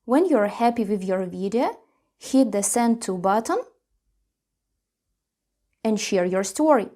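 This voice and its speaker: Woman, mid-pitched voice, tutorial presenter, scripted instructional narration, step by step. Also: expressive, takes it slowly, dark tone.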